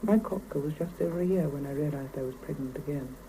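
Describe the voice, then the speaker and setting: A woman's voice: low-pitched, quick pace, lightly acted. An actress playing a weary, reflective Soviet scientist and mother narrating her inner thoughts in a radio drama. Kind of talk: 1970s radio drama interior monologue. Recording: hissy 1975 mono radio cassette recording.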